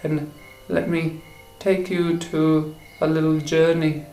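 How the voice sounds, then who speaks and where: A man guiding a meditation for relaxation and sleep, speaking in short, halting phrases. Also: on the high side, level tone, mellow, faint music behind. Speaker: meditation guide. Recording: roomy echo with faint insect noise.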